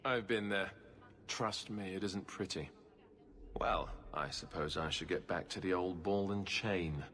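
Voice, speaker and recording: A man's voice: deep voice, a little slow, fairly bright tone, big-room sound. An actor in a video game playing a good-humoured, weary but hopeful king with a dry, casual, joking manner.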